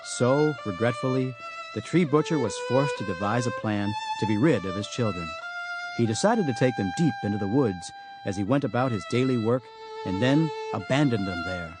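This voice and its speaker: Male author-narrator, mid-pitched, scripted satirical storybook read, clear music underneath. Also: expressive, moderate pace. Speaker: author reading own audiobook.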